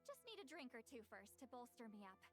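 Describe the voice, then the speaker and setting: A woman's voice: on the high side, sing-song, a little fast, faint music behind. An actress voicing a feisty, theatrical young woman in an anime game, dramatic and emphatic in delivery. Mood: flustered, bossy.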